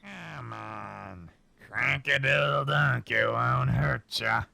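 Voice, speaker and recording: Very gravelly, menacing pony. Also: male voice, medium pitch, slow pace, bright, forceful tone, exaggerated voice. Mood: creepy, coaxing. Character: creepy, menacing gruff pony stagehand. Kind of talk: audio drama acting. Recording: music under the voice.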